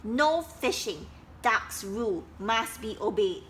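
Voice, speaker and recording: A woman's voice: medium pitch, lively intonation, slow, a little hiss or hum. A woman voicing a bossy, self-important male duck who barks orders about his pond. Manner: loud and commanding, snapping orders.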